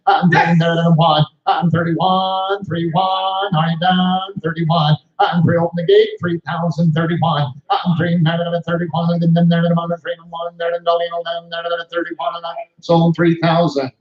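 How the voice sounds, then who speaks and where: A man working as a livestock auctioneer, calling bids in a rapid rhythmic chant. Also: fairly high pitch, little pitch movement, echoey room, muffled recording. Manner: rhythmic repetitive bid calling. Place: cattle sale ring.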